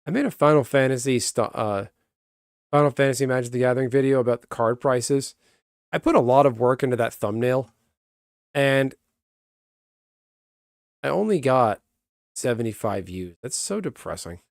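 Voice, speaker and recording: A man chatting casually on a stream, rambling and breaking off mid-sentence, answering his viewers in a calm, even voice. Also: medium pitch, moderate pace, gravelly, pauses often, no room sound, studio quality. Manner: rambling, self-interrupting, chats with viewers. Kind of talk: casual live-stream chat.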